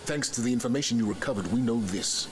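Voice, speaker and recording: A man's voice: mid-range voice, close to an everyday voice. Solemn military commander played by a man, noisy backdrop. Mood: resolute. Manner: measured, authoritative, grave. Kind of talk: video game mission briefing.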